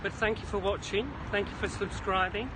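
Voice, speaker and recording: Man, British accent, high pitch, chirpy and jokey, slightly puffed, walking vlog, noisy outdoor city ambience. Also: steady tone, normal speed. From Isle of Man. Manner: chirpy, chatty, jokey, quaint British slang. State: slightly out of breath from brisk walking. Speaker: vlog host. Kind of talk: rambling walking vlog to camera. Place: outdoors along a city creek.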